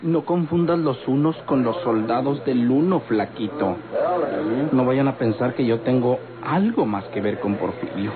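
A man's voice: medium pitch, a little slow, a crowd in the background, natural voice. An actor plays an effeminate, touchy Mexican man on a tinny old radio recording with babble behind. He talks with coy, overdone politeness in old radionovela style. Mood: indignant, coy. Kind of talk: old-time radionovela acting.

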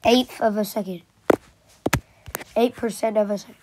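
A young woman vlogging with a stuffy cold, sniffling, talking in unhurried bursts that jump into excited gasps and squeals. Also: medium pitch, heavy background noise. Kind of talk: casual screen-recording vlog.

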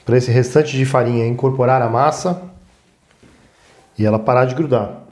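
A man's voice: medium pitch, normal speed, a little mellow. Brazilian man casually teaching a recipe. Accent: Brazilian Portuguese. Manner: informal, instructive, frequent check-in tags. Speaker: cooking show host. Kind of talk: casual step-by-step cooking demonstration.

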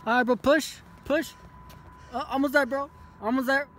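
A man's voice: extremely high pitch, steady tone, moderate pace, fairly bright tone, a little hiss or hum. A young man chatting casually for a vlog, slangy and repeating himself. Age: young adult. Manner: slangy, repetitive. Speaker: vlogger.

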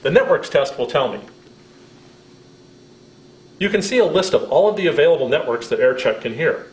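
American guy in his 50s doing a product demo, kinda high voice, really animated, bit echoey. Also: normal speed. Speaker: product presenter. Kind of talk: scripted product demonstration.

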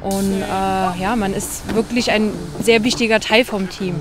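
A woman in her late twenties who plays football, answering in a relaxed way with hesitations. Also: mid-range voice, a little slow, over loud music. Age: 20s. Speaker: amateur football player. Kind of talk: casual interview answers.

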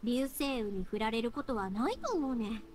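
Woman as a high, lively Kansai anime girl. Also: moderate pace, natural voice. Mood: earnest. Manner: heartfelt, folksy, expressive. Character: bright, earnest young woman fireworks maker. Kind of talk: Japanese anime game voice acting.

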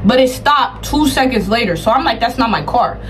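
An American woman chatting casually to viewers, telling a personal story in a slangy, animated way with acted-out asides. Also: on the high side, brisk, a little hiss or hum. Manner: slangy, animated retelling with acted-out asides and repeated check-ins.

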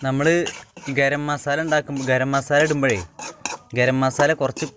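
A man's voice: mid-range voice, flat delivery, normal speed. A man from Kerala, India, casually showing viewers how he cooks at home, rambling and repeating his phrases as he works. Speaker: home cook and vlogger. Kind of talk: casual cooking demonstration to viewers. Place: home cooking spot with wood fire. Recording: clattering pans and clicks, noisy self-shot recording.

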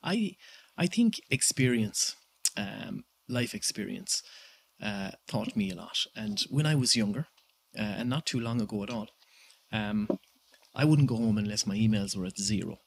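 A man in his thirties with an Irish accent, giving measured interview answers with many pauses and fillers. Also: on the low side, lively intonation, vocal fry, husky. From Ireland. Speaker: guest entrepreneur.

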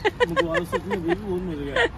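A man's voice: very high-pitched, animated, moderate pace, gravelly, a crowd in the background. A young man chatting casually at an outdoor cafe table, picked up by a phone camera. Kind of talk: casual chat.

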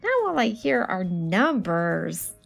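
A woman's voice: on the low side, big pitch swings, slow pace, gravelly, husky, soft background music, full character voice. A woman voicing an exasperated, dramatic math skeptic who argues back in a picture book read-aloud. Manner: dramatic, grumbling, emphatic. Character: exasperated math-skeptic reader arguing with an alien.

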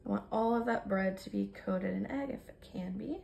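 An American woman in her thirties with a creaky voice, chattily narrating her cooking step by step in a casual, informal way. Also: mid-range voice, moderate pace, a little mellow, a little hiss or hum. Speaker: home cook vlogger. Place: home kitchen, indoors.

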